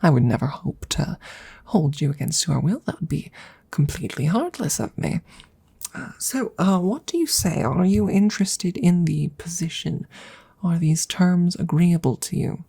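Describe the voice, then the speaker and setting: Woman playing a breathy, slow-talking courtly she-dragon. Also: on the low side, dark tone, heavily acted. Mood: pleased, tender. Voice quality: breathy, often slipping into whispers. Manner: hesitant, formal, wordy phrasing. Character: courtly, awkward centuries-old female dragon in human guise. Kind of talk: soft-spoken ASMR roleplay.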